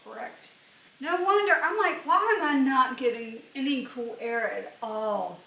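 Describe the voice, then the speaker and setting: A woman with a fairly high, bright voice chats and banters casually in a home vlog. She sounds slightly off the mic in a roomy indoor space. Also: unhurried, far from the mic, a little hiss or hum. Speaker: co-host of a home unboxing vlog. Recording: roomy echo, off the mic, faint music.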